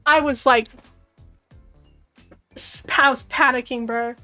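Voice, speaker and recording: A young Australian woman in her twenties reacts to a game in casual chat, giggling between lines. Her voice is hoarse from screaming and sits a little high, with faint music underneath. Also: fairly even intonation, takes it slowly, sharp tone. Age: 20s. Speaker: streamer. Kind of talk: casual gaming commentary.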